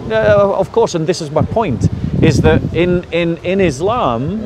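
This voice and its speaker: A man's voice: sits high, lively intonation, unhurried. A man debating outdoors in a park, pressing his points and steering the conversation forward. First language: English. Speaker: street debater. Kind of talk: public debate. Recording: crowd noise outdoors.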